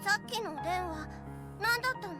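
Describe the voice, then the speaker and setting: A woman's voice: extremely high pitch, lively intonation, rapid-fire. An actress voicing a small girl who chatters eagerly to her mother about a paper craft, in Japanese game voice acting. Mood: worried. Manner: chattering, seeking praise. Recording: game audio under background music.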